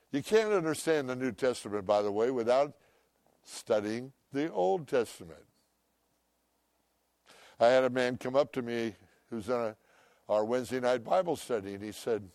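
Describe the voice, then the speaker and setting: An older man preaching a sermon, loud and emphatic, swinging between shouts and hushed asides. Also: medium pitch, no room sound, clean studio sound. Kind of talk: impassioned sermon.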